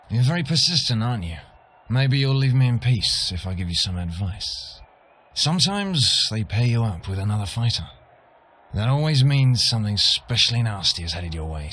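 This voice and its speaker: A man's voice: fairly low pitch, a bit bright, slightly rough, exaggerated voice. An actor voicing an aloof, irritable warrior in a video game, disdainful and superior in his manner. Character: aloof, irritable, condescending male meditating warrior. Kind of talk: video game character acting.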